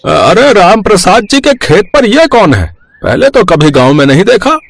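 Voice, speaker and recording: A man's voice: high-pitched, very animated, moderate pace, vocal fry, dry recording, exaggerated voice. A man playing a curious, gossiping village farmer in a story, sounding nosy and puzzled. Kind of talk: acted Hindi moral story dialogue.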